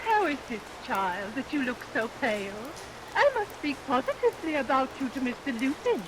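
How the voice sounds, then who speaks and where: A woman's voice: sits high, big pitch swings, moderate pace. An actress playing a pious, middle-aged martyr of a mother in vintage radio melodrama, fussy and long-suffering. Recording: vintage radio recording under rain noise.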